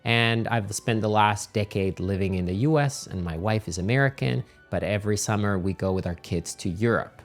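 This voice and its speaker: A middle-aged man, giving casual, animated travel advice as a channel host. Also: lowish voice, normal speed, hardly any pauses, faint music behind. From Finland.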